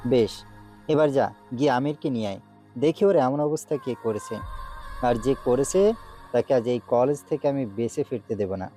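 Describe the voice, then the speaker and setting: A man's voice: mid-range voice, normal speed, dark tone, soft background music, natural voice. A man playing a cruel young campus gang boss in an audio drama, commanding and taunting. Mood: menacing. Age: young adult. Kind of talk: Bengali audio story acting.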